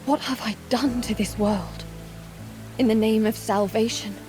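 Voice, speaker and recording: Solemn valkyrie lead for fantasy game, female actor; higher register, stern formal diction, music underneath. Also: fairly even intonation, a little slow, close to an everyday voice. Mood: troubled, solemn. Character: proud, stern young warrior valkyrie serving a god. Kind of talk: scripted video game drama. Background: dramatic music.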